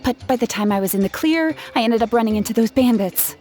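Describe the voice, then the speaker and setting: A woman's voice: fairly high pitch, animated, fast talker, dark tone, lightly acted. Woman voicing an earnest young gem artisan. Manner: earnest, chatty storytelling. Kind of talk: video game dialogue. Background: game soundtrack music.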